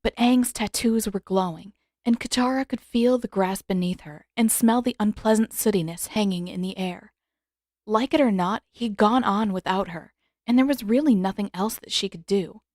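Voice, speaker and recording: A woman's voice: mid-range voice, normal speed, darkish tone, no room sound. Woman narrating fiction, very dry recording. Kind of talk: scripted fan fiction narration.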